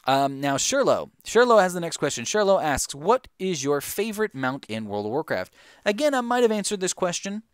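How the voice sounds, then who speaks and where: Smooth-voiced young man chatting casually. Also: sits high, big pitch swings, moderate pace, no echo, studio quality. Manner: rambling, many fillers and restarts. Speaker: gaming YouTuber answering viewer questions. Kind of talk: casual Q&A chat.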